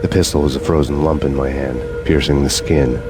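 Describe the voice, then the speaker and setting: A world-weary hardboiled cop from a noir video game, with an extremely deep voice and very little melody. He narrates his vendetta in grim, poetic deadpan, over loud music. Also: fairly quick. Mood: brooding.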